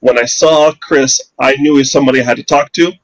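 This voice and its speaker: A man's voice: mid-range voice, steady tone, fast, poor audio. A man from Canada, a financial advisor answering interview questions confidently and persuasively with rhetorical questions.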